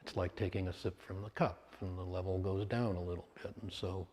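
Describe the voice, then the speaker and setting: A man leading a guided meditation in short, measured phrases with pauses between them. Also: deep voice, little pitch movement, a little mellow. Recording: long hall-like reverb.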